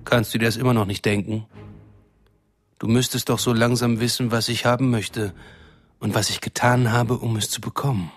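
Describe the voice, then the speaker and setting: An actor playing a cruel, condescending shapeshifter villain in a radio drama, taunting and threatening in a smooth-talking way. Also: male voice, medium pitch, steady tone, moderate pace, soft background music, lightly acted. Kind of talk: German radio drama acting.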